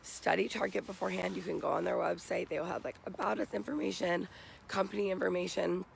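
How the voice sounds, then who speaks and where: A young American man with a raspy, morning-hoarse voice, giving casual advice to viewers as a vlogger. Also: high voice, fairly even intonation, quick pace, a little hiss or hum. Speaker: vlogger giving job interview tips. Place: outdoors.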